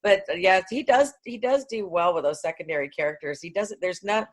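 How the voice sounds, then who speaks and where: A woman's voice: on the low side, a little fast. A woman co-hosting a podcast, talking in a casual, agreeable, conversational way about film.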